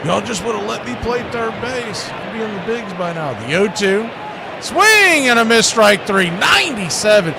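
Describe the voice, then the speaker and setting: A man doing excitable play-by-play baseball commentary, folksy and emphatic, mixed with casual banter. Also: high-pitched, moderate pace, gravelly, a crowd in the background, background noise.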